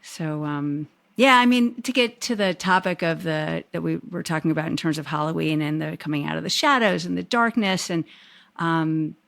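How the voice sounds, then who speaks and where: A woman hosts a casual podcast interview in a low, gravelly voice. She talks in a reflective, rambling way and often restarts her phrases as she thinks aloud. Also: normal speed, a bit bright. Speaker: podcast host.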